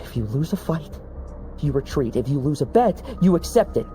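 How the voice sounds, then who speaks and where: An actor playing a hardened, cynical knight who lectures bluntly in a game cutscene. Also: male voice, a little high, big pitch swings, normal speed, mellow, faint music behind. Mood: stern.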